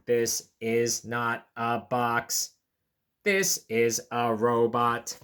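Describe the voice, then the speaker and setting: Woman playing slow, low bunny pretending to be a robot. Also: monotone, fairly bright tone, smooth, exaggerated voice. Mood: mechanical, deliberate. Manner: deliberate, spelling out letters. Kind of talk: picture book read-aloud.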